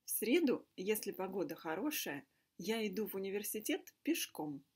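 A woman teaching a language lesson in deliberate, simple, carefully repeated phrases. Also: medium pitch, sing-song, a little mellow, breathy edge. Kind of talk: slow scripted language lesson monologue. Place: indoor room with a fireplace.

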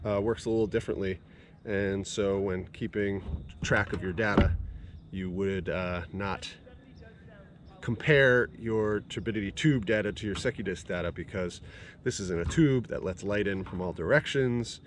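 A man's voice: fairly low pitch, normal speed. A man in his thirties in a kayak on a lake, casually explaining a water test with fillers and thinking aloud. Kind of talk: casual outdoor demonstration. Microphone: action camera at arm's length. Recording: noisy outdoor camera audio.

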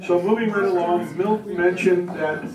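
A man's voice: high-pitched, normal speed, very noisy. An older man moderating a panel at a community event, speaking in a genial, chatty way into a handheld mic. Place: community meeting room, audience seated. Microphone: handheld mic, heard from afar. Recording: roomy, distant mic with crowd.

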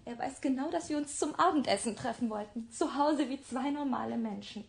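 A woman's voice: high voice, fairly quick, creaky, slightly rough, full character voice. A woman playing a volatile, lovestruck bohemian actress exasperated with her lover, theatrical and swinging between muttering and outbursts, in a German radio drama. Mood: irritated.

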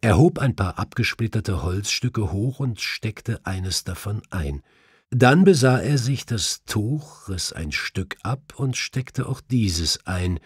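Male audiobook narrator, dramatic storytelling, husky, unhurried, dry studio sound. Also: on the low side, expressive, no echo.

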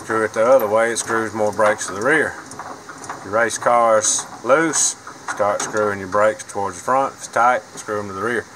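A man's voice: fairly low pitch, fairly even intonation, normal speed, fairly bright tone, slight background noise, poor audio. A man, talking casually and plainly as he drives and works on a race car. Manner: folksy, plain-spoken, rambling. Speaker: vlogger and racer. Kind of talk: casual vlog narration. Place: inside a truck cab, then a race car cockpit.

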